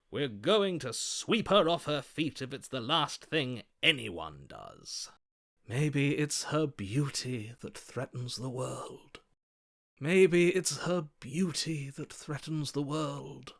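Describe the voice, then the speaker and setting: An actor playing a gallant, lovestruck romantic in a video game, florid, vain and grandly theatrical. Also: male voice, fairly high pitch, a bit bright, full character voice. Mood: impassioned, defiant. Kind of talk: video game character acting.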